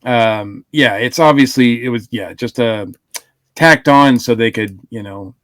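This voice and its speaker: A man chatting casually as a podcast panelist, often halting and doubling back on his words. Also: medium pitch, fairly bright tone, no echo. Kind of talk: casual chat reviewing audio dramas.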